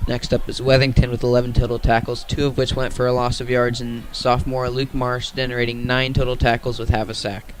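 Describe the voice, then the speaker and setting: An American man giving radio play-by-play sports commentary in a measured announcing manner. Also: mid-range voice, flat delivery, slight background noise, rough recording.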